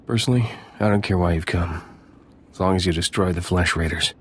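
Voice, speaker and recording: Deep, gravelly, militant scout chief. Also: male voice, a little fast. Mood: gruff resolve. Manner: blunt, hard-bitten. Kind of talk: video game dialogue acting.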